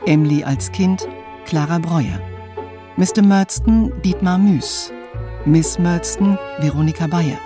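Radio announcer: woman, slightly deep, deliberate and formal, reading credits over clear string music. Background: prominent violin music.

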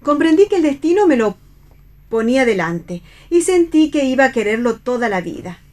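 Radio theatre: humble young salvationist woman, fairly high voice, earnest, lyrical, tender. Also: very animated, moderate pace, a little mellow. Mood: tender, wistful.

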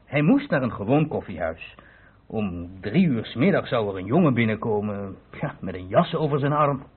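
A police inspector in a radio drama, lively and rather high in pitch. He lays things out patiently in a husky voice, on a narrow, phone-like recording. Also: normal speed. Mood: explanatory. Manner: explanatory storyteller, chuckling asides. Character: police inspector patiently explaining a diamond theft. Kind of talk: Dutch radio play acting.

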